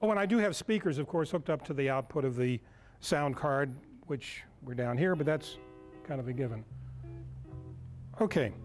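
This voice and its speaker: A man in his sixties giving an informal live demonstration as a lecturer, halting as he searches for words. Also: medium pitch, animated, soft background music. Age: 60s. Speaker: lecturer at a club workshop. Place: indoor meeting room, speaking to a group.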